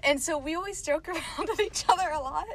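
A woman's voice: very high-pitched, fast. A teenage girl chatting casually on a podcast, easygoing and giggly. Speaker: podcast co-host. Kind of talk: casual chat.

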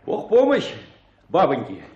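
A man's voice: a little high, wildly swooping pitch, measured pace, a little mellow. An actor playing a talkative old peasant man in a radio play, insistent and folksy, with speech. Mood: hearty, teasing. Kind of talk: Russian radio play acting. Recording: dull, noisy old radio play recording.

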